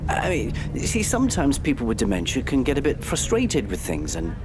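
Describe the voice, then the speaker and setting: An earnest, very animated doctor. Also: male voice, medium pitch, noisy background. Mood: polite, careful. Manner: halting, careful, reasoning. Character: earnest, patient male family doctor, slightly exasperated. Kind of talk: audio play acting.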